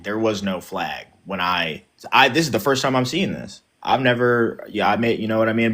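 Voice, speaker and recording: A man's voice: fairly low pitch, fairly bright tone. A man who is a guest on a livestream debate, thinking aloud in a rambling, hesitant way full of fillers. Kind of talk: casual conversational debate.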